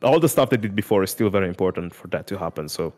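A man's voice: low-pitched, animated, very fast, a little mellow, soft background music. A man guest on a podcast, talking casually and earnestly, throwing in "dude" and "like" a lot. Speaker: music content creator guest.

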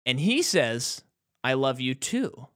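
A man's voice: mid-range voice, very animated, slow, a bit bright. A man co-hosting a podcast, chatting casually about a movie and acting out quotes with animation. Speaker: podcast co-host.

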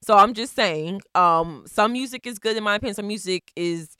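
A woman's voice: mid-range voice, moderate pace, sharp tone, smooth, no room sound, clean studio sound. A woman in her thirties hosting an interview, hesitant and full of fillers as she frames her questions. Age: 30s. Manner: hesitant, lots of fillers while framing questions. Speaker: interview host. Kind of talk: casual interview chat.

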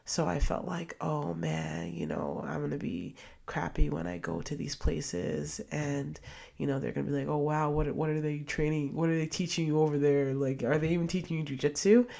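Low, gravelly-voiced woman chatting. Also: moderate pace, dark tone. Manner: chatty, rambling, many fillers and restarts. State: sniffly from a cold. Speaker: podcast host. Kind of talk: casual solo podcast monologue.

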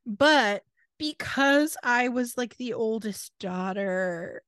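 A woman's voice: a little high, animated, slow pace, a bit bright, clean tone, no room sound, clean studio sound. A woman co-hosting a podcast in casual conversation, giving opinionated, drily critical commentary. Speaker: podcast co-host.

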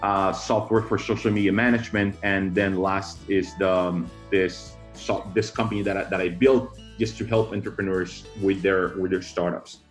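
A man from Colombia, a guest on a podcast, speaking in a measured way with many restarts. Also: low-pitched, fairly even intonation, soft background music. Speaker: guest, tech founder. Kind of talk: podcast interview.

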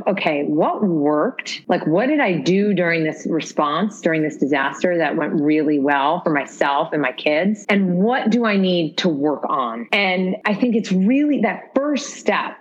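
A woman's voice: fairly low pitch, animated, a little slow, few pauses, breathy edge, lo-fi sound. A woman guest on a podcast interview, speaking earnestly and reflectively in long, flowing explanations. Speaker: podcast guest, resilience consultant. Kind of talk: casual interview talk.

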